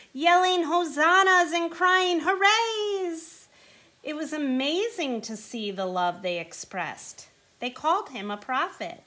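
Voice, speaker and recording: A woman reading a rhyming children's picture book aloud, with expressive, emphatic phrasing. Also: high-pitched. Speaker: storybook reader.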